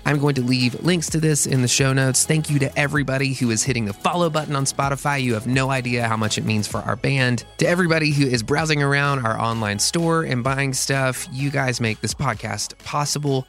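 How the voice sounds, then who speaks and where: A man hosting a podcast in casual chat, with a nasal voice, goofy and quick to laugh. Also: mid-range voice, faint music behind. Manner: goofy, laughs often, animated. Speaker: podcast host. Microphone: studio mic with headphones.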